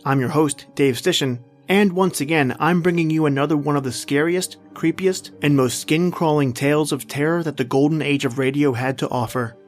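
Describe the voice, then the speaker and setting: A man hosting a horror podcast, reading a scripted introduction in a theatrical, measured presenter's delivery. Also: a little high. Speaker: podcast host. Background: music.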